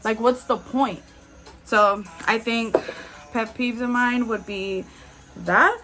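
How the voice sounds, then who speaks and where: A woman in her twenties chatting candidly as a beauty vlogger while doing her makeup, with frequent "like"s and soft laughs. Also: a little high, slow pace, over music. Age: 20s.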